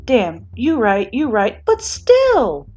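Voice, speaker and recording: Woman voicing a whiny, theatrical teenage boy, high-pitched. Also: huge pitch swings, takes it slowly, a little mellow, slightly rough, highs cut off, full character voice. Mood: whiny, scolding. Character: dramatic, goofy, excitable teenage boy.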